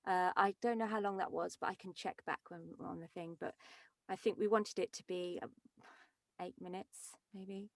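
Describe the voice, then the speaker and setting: A woman's voice: fairly low pitch, fairly even intonation, moderate pace, no room sound. A woman leading a script table read, reading stage directions and discussing them informally.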